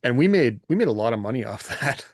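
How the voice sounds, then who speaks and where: A man's voice: medium pitch, sing-song, fast, no echo, clean studio sound. A middle-aged American man chatting casually as a podcast co-host, candid and self-deprecating. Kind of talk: casual chat.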